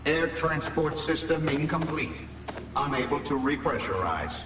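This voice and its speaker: A man's voice: on the high side, expressive, unhurried, background noise. A man voicing an impassive spaceship computer in a video game, announcing in formal, clipped phrases. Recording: echoey, distant ship announcement over music.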